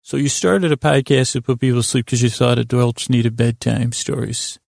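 Man as a gravelly, bumbling pitchman guest. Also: steady tone, moderate pace, darkish tone, no room sound, clean studio sound, lightly acted. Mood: earnest. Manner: flustered, insistent salesman patter. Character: bumbling, earnest male talk-show guest pitching a product.

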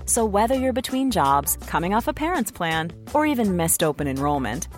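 A woman reading a scripted radio advert in a friendly, upbeat sales pitch. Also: very animated, fairly quick, soft background music. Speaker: ad announcer.

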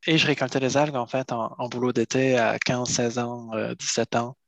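A man's voice: medium pitch, flat delivery, normal speed, vocal fry, fairly bright tone, no room sound. A middle-aged man from France answering interview questions, explaining at length and often repeating words as he finds them. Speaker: seaweed harvester and entrepreneur.

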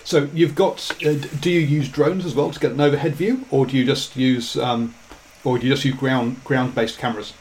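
Halting, thoughtful male interviewer. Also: medium pitch, fairly even intonation, a little mellow, a little hiss or hum. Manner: thoughtful, halting phrasing with restarts. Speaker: podcast host. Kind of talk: conversational interview.

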